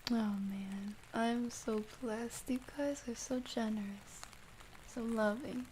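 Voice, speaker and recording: A woman livestreamer speaking softly and gently in ASMR style, drifting between a soft voice and whispers as she chats to viewers. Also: a little high, fairly even intonation, slow, a little hiss or hum, rough recording. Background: soft rain ambience.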